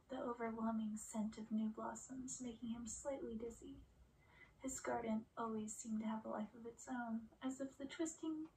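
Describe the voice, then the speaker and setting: A soft-spoken woman doing an ASMR read-aloud, often slipping into breathy whispers. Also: fairly high pitch, slight background noise, poor audio. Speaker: ASMR performer reading a book aloud.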